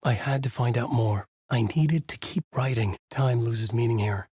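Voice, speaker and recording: An actor playing a troubled novelist in a horror video game, narrating his dark story in a husky, grave, deliberate noir style. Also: medium pitch, no echo, studio quality, lightly acted. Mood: troubled, urgent.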